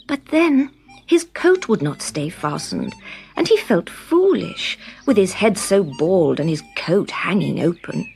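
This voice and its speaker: A woman reading a scripted children's story in an expressive, deliberate storytelling manner. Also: on the low side, vocal fry. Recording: background music under the reading.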